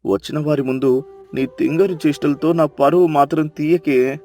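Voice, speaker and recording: Man acting a high-voiced, scolding village husband. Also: fairly even intonation, normal speed, dark tone, faint music behind, natural voice. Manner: scolding, impatient.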